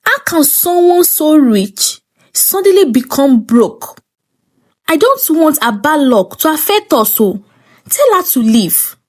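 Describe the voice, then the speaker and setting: A cold, superstitious husband in a folktale, put on by a woman narrator with a Nigerian accent. He grumbles suspiciously, slowly and with lively swings in pitch. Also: exaggerated voice. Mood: suspicious, irritated.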